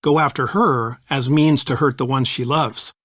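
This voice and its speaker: A supportive, analytical middle-aged father played by a man in a mystery video game. He speaks with an American accent in a measured way, in a very dry recording. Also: mid-range voice, fairly even intonation, fairly bright tone, no room sound, close to an everyday voice. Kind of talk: video game dialogue acting.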